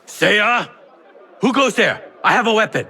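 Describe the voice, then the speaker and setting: An actor playing a sly, theatrical merchant and smuggler with a teasing, playful manner in a video game. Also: male voice, sits high, lively intonation, a little slow, pushed and bright, some chatter behind, heavily acted. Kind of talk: video game cutscene acting. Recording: music behind the voice.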